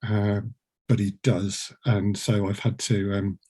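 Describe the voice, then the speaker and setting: A man hosting a relaxed group interview, talking in a jokey, rambling, self-deprecating way. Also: fairly low pitch, slow. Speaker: podcast host and producer. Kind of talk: casual group chat interview.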